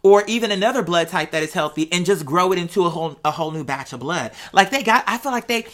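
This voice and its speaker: A woman hosting a show, talking casually and unscripted to her followers, musing out loud with rhetorical questions and checking in with the listener. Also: lowish voice, lively intonation, fast talker. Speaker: host of a live show.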